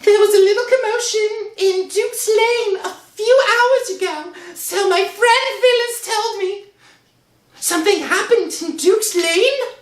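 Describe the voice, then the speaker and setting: Very high-pitched, anxious, pleading wife. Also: female voice, measured pace, roomy sound. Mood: nervous, evasive. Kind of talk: old-time radio thriller acting.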